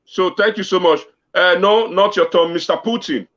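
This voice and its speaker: A Nigerian man moderates a live online town hall, calling on each speaker in turn. His voice is slightly high and he cuts in often. The audio is muffled and lo-fi. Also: moderate pace, fairly bright tone. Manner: interrupts, calls on speakers, mixes in Pidgin. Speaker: debate moderator.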